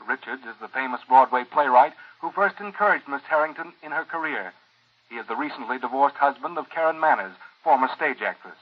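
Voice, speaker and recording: An actor playing a gushing gossip columnist on the air, in a breathless broadcaster's delivery, in a 1940s radio drama. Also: male voice, medium pitch, expressive, fairly quick, lightly acted. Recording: muffled old radio, radio-within-radio.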